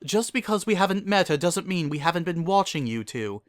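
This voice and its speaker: A man voicing a prim, sharp-tongued middle-aged unicorn noblewoman in a storybook, haughty and reproachful. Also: high-pitched, very animated, a little fast, no room sound, studio quality, voice pushed up. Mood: earnest.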